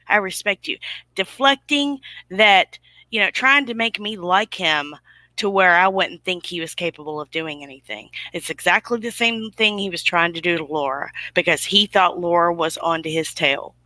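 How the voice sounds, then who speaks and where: A woman's voice: fairly low pitch, normal speed, sharp tone, no room sound, rough recording. A woman hosting an online broadcast in casual, opinionated chat, emphatic and fond of rhetorical questions.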